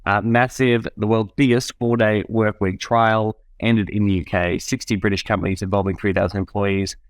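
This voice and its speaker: A man's voice: lowish voice, little pitch movement, moderate pace, smooth, no echo. Dry-sounding wry Australian man. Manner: wry, jokey, with swearing. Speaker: podcast host. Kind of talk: casual political chat. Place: small room by green wall.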